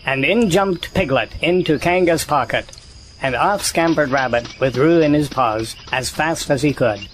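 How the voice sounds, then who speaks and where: A man's voice: a little high, a little slow, a little hiss or hum, poor audio. A man giving scripted storybook narration as the narrator of a children's tale.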